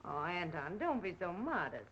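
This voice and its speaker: A sly woman in a spy ring from a 1930s mystery film. Her voice is bright and edgy, swinging widely in pitch as she probes and questions. The soundtrack is muffled and old. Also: very animated, moderate pace, breathy edge, heavily acted. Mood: sly, accusing.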